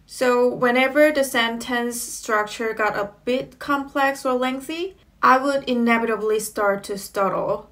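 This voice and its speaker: A woman's voice: on the high side, a little slow, few pauses, fairly bright tone. A woman in her thirties whose native language is Korean, giving casual tips to the camera from a small room at home, friendly, with fillers like "you know". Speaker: English learning vlogger.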